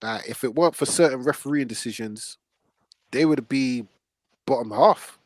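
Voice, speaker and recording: A man's voice: medium pitch, normal speed, dry recording. Blunt man, close dry sound. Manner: blunt, slangy. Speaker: podcast host. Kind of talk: casual football panel chat.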